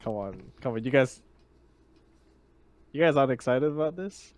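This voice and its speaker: A man with a mid-pitched voice bantering casually as a tabletop roleplaying player. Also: expressive, normal speed, darkish tone.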